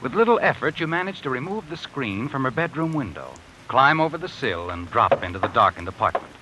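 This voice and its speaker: An actor playing an eerie, all-knowing narrator in a 1950s radio suspense drama, telling the story ominously in the second person. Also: male voice, medium pitch, big pitch swings, a little fast, noisy background, close to an everyday voice. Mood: ominous, quiet. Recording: vintage radio recording, rain and music behind.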